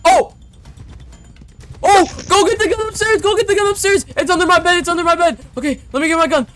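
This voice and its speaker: A young man acting as an excitable zombie apocalypse survivor in an amateur game roleplay. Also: very high-pitched, fast talker. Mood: panicked, urgent. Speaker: lead player in a game roleplay video.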